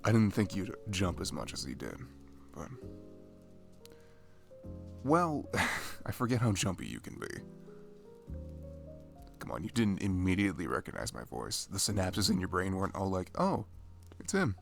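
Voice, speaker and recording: A man's voice: low voice, huge pitch swings, normal speed, creaky, long pauses, faint music behind, heavily acted. A man playing a shy, dorky best friend in an ASMR roleplay, chatting casually in a rambling, stammering, nerdy way. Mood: teasing, playful. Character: dorky shy young male best friend, playful gamer.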